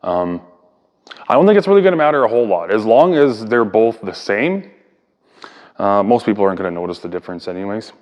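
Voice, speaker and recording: Male hobbyist host, low clean voice, informal hands-on explainer delivery, thinking aloud, reverberant room sound. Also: lively intonation, brisk, a little mellow. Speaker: hobbyist video host and speaker restorer. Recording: echoey room with long reverb.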